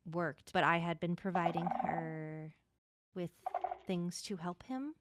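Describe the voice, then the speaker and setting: A woman playing an inquisitive, easily flustered court healer in an improvised game roleplay, halting as she thinks aloud. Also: fairly low pitch, steady tone, heavy background noise. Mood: hesitant, thoughtful.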